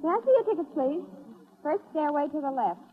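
Brash young usherette: slangy, wisecracking, very animated pitch, 1930s radio acting, tinny recording. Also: female voice, fairly quick. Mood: brisk, sassy.

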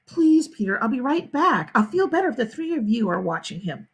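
A woman's voice: a little high, sing-song, fast talker, darkish tone, slightly rough, full character voice. A woman voicing a harried, coaxing mother in a children's storybook.

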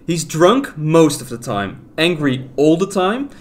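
A man's voice: a little high, very animated, slow. An actor playing a wounded, defensive young man, a runaway boyfriend in an audio drama. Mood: bitter, defensive. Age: young adult. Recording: faint noise with ambience behind.

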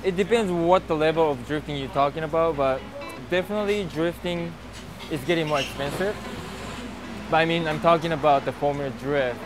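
A man in his thirties, a professional drift driver, giving a relaxed and halting outdoor interview on a lapel mic at a racetrack paddock. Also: a little high, music in the background. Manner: relaxed, halting, many fillers. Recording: noisy outdoor racetrack recording.

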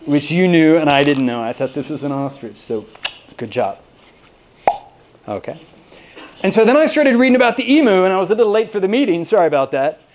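Higher-pitched American man giving an informal talk. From Austin, Texas, United States. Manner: self-deprecating, rambling, jokey storytelling. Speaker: university lecturer giving a talk. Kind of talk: informal humorous lecture to colleagues.

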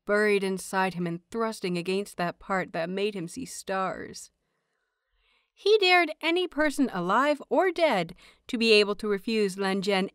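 A woman hosting and narrating audio fiction in an expressive audiobook style. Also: medium pitch, clean tone, no room sound, studio quality. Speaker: host and narrator of an audio fanfiction show.

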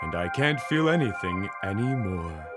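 A woman acts out a sad, gentle cartoon tin man in a low, swooping voice. The delivery is plaintive and wistful, with music behind it. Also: big pitch swings, moderate pace. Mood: sorrowful. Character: sorrowful, gentle rusted tin man, pleading. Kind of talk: children's cartoon acting.

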